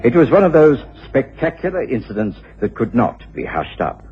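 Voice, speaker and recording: British male narrator, very husky, genteel, expressive storytelling, radio drama, muffled old recording. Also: mid-range voice, normal speed, faint music behind. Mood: wry, measured. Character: genteel, observant male detective's companion and narrator.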